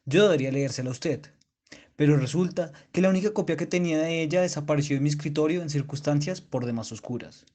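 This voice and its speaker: A man plays a stern school director in a radio play, his voice slightly high. He speechifies at length, pompous and oratorical, with great official gravity. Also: fast talker, a little mellow, natural voice. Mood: pompous, reminiscing. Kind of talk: literary radio drama monologue.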